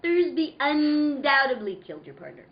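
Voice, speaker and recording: A woman's voice: sits high, sing-song, moderate pace. A woman playing an arch, theatrical schemer with precise, dramatic delivery in a radio noir play. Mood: arch, correcting. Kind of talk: old-time radio noir acting. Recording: roomy, dull audio.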